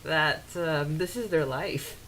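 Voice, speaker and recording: A woman co-hosting a podcast, chatting casually in a giggly, animated way as she discusses a story and reads out bits of it. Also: sits low, takes it slowly, a crowd in the background, poor audio. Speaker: podcast co-host. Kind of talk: casual fan discussion with read-out quotes. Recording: hissy background noise.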